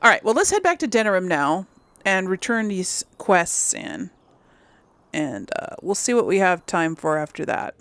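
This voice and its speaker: A woman's voice: fairly low pitch, very animated, moderate pace, fairly bright tone, dry recording. A woman casually commentating on a game, rambling and thinking aloud in an easily amused, chatty way. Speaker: gameplay host.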